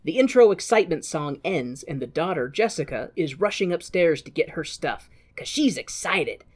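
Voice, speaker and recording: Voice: medium pitch, sing-song, normal speed. Irreverent podcast co-host recapping a film. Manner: irreverent, jokey. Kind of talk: comedic film recap, casual retelling.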